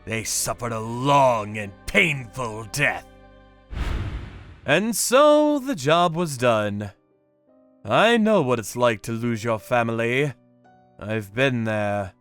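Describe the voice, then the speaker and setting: A man voicing a grim, vengeful torturer who narrates his work in a manga dub with deliberate menace. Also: mid-range voice, animated, fairly bright tone, soft background music. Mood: grim, reflective. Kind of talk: dramatic first-person manga dub narration.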